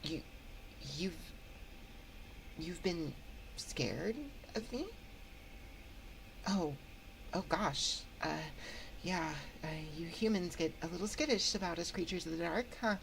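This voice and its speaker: Woman acting as shy awkward shade girl under the bed, lowish slow voice, stammers n rambles, asmr roleplay. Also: vocal fry, noisy background. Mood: nervous, apologetic. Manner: nervous, stammering, rambling, apologetic. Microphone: close binaural microphone.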